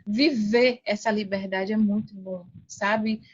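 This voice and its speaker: A woman's voice: mid-range voice, fairly even intonation, slow pace, breathy edge, muffled recording. A woman in her forties with a Brazilian accent, talking casually and motivationally as a podcast guest, earnest and emphatic, often repeating phrases and tagging questions on the end. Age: 40s. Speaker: podcast guest, affiliate marketing coach. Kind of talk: casual motivational interview talk.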